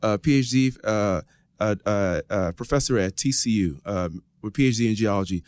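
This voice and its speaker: A man co-hosting a podcast in a conversational, somewhat halting way, repeating words as he introduces things. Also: fairly low pitch, a little mellow, dry recording. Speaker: podcast co-host.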